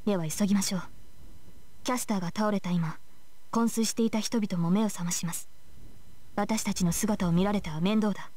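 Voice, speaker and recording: A woman's voice: mid-range voice, steady tone, very fast, long pauses, warm tone, natural voice. An actress playing a stern young female knight in a visual novel, speaking formally and with composure. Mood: composed, formal. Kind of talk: Japanese visual novel voice acting.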